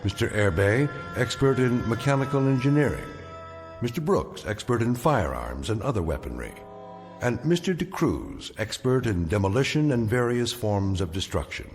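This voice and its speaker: An actor narrating an uncanny radio drama anthology in a measured, portentous way. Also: male voice, mid-range voice, very animated, lightly acted. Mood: ominous. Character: ominous, knowing male narrator of uncanny tales. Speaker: narrator of a mystery anthology series. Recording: long reverb, dramatic music behind.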